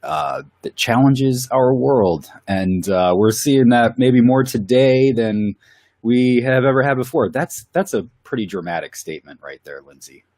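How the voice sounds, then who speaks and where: A man's voice: medium pitch, expressive, a little slow, a little mellow, no echo, clean studio sound. A man with a cold, co-hosting a Bible study in casual, enthusiastic chat. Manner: enthusiastic, with filler words and laughs. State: has a cold.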